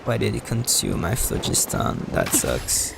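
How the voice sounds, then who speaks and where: A man giving casual, halting and rambling live commentary as a video game streamer, often repeating words. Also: sits low, wildly swooping pitch, vocal fry, heavy background noise.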